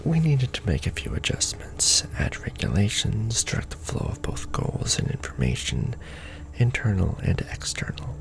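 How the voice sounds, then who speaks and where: A man playing a jaded, sardonic manager at a secret society in an ASMR roleplay, speaking in a dry, world-weary deadpan. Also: on the low side, normal speed, creaky, exaggerated voice. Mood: weary, businesslike. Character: jaded, sardonic male Illuminati manager briefing a new recruit.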